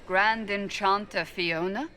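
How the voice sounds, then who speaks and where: A woman's voice: medium pitch, steady tone, slow pace, sharp tone, a little hiss or hum. A woman playing a stern, principled warrior in a fantasy game, speaking in a formal, blunt and grave manner. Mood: suspicious. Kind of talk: dramatic fantasy game dialogue.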